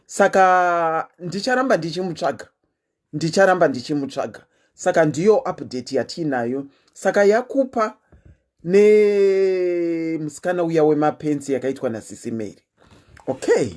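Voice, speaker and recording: A woman's voice: sits low, normal speed. A woman with a Zimbabwean accent, hosting her show in a chatty, casual monologue, telling listeners her news with lots of "eh" fillers. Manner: animated, chatty storytelling with frequent "eh" fillers. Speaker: host.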